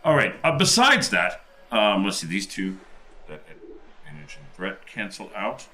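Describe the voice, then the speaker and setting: A man playing a tabletop roleplaying game, talking casually and haltingly through his character's moves. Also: low voice, sing-song, bright, forceful tone.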